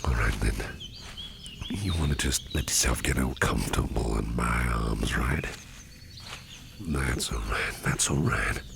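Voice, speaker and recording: A man acts out a protective gentleman boss in an ASMR roleplay, with an extremely low, gravelly voice. He speaks slowly and softly, often close to a whisper, reassuring and courteous, over clearly audible background ambience. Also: noisy background, exaggerated voice. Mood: soothing. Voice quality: very deep, gravelly, often breathy and near-whispered. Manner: gentle, reassuring, unhurried, repeats phrases softly. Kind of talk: ASMR roleplay, soft personal attention.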